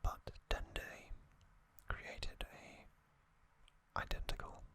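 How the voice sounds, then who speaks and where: An ASMR host speaks entirely in a breathy whisper. The delivery is very slow and deliberate, phrase by phrase with long pauses. The whisper has a crisp, bright edge. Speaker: host of an ASMR trivia channel. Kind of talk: ASMR, whispered reading and commentary.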